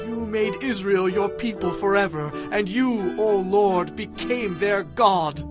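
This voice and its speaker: Music plays behind a devout king with a high voice. He prays humbly and earnestly, drawing out his phrases with reverent awe in an audio Bible drama. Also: slow. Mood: exalting praise.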